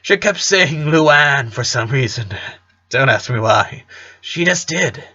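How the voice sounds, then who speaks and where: A man plays a middle-aged cartoon dad with a bright, forceful voice. He tells nostalgic family stories with earnest fondness and a joking streak. The recording is slightly dull and lo-fi. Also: medium pitch, lively intonation, takes it slowly, heavily acted. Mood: amused, animated. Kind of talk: cartoon fan fiction reading.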